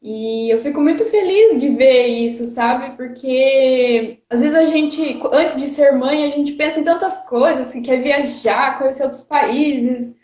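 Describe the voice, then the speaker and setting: A woman's voice: high voice, a little slow, few pauses, darkish tone. A woman in her thirties who grew up in Brazil and speaks with a Paraná accent, chatting casually and a little nervously on a livestream, with lots of fillers and laughs. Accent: Paraná Brazilian Portuguese. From Rio de Janeiro, Brazil. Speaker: guest. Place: her parents' house, indoors. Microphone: phone on a charger. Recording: muffled, roomy livestream audio.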